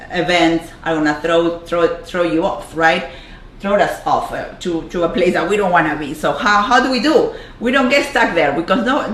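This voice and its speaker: A woman's voice: fairly low pitch, a bit bright, echoey room, a little hiss or hum. A woman from Argentina with a strong Argentine Spanish accent, giving an earnest motivational talk as a life coach on a livestream, with lots of fillers and repeated words. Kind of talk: motivational Facebook Live talk. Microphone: camera mic on a livestream.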